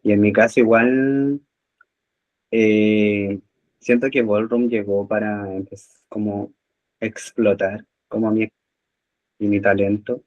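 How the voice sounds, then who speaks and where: A man speaking slowly and haltingly on a discussion panel. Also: fairly low pitch, level tone, muffled recording.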